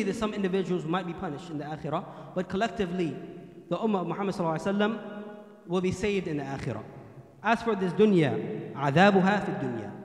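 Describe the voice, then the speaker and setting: A young man preaching a Friday sermon as imam into a standing mic in a mosque hall, quoting Arabic passages and translating them into English. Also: high voice. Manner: quotes Arabic hadith then translates into English. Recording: echoey hall.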